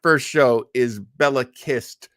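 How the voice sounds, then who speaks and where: A man's voice: mid-range voice, takes it slowly, fairly bright tone, dry recording, clean studio sound. A man hosting a show in a casual, rambling, self-deprecating way with chuckles. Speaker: host of a horror radio show livestream. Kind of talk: casual chat.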